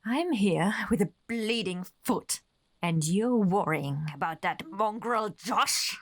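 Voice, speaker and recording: Slow, menacing, possessive female lover. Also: medium pitch, lively intonation. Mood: cold, condescending menace. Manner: condescending, deliberate, theatrical. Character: possessive, menacing, jealous woman tormenting her lover. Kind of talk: horror radio drama acting.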